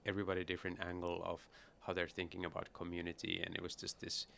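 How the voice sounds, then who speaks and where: A middle-aged man from Finland, a startup founder talking casually as an interview guest at a conference, relaxed and easygoing. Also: low voice, fast talker, vocal fry, a little hiss or hum. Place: busy conference hall.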